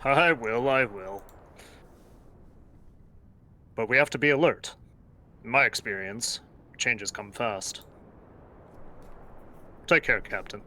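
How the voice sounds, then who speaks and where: A man voicing a practical sailor and father who speaks in a measured, earnest way, in a fan-voiced game playthrough. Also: mid-range voice, bright, forceful tone. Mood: steady.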